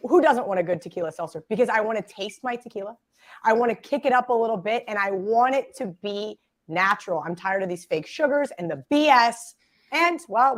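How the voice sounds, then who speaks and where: Raspy-voiced woman hosting a betting podcast. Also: fairly high pitch. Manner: lively, joking, chatty. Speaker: podcast host. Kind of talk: sports betting podcast chat.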